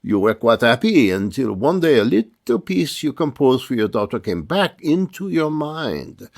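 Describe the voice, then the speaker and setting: An actor playing a genial, self-justifying asylum doctor in a radio drama, measured and theatrical. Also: male voice, mid-range voice, vocal fry, heavily acted. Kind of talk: old-time radio drama acting.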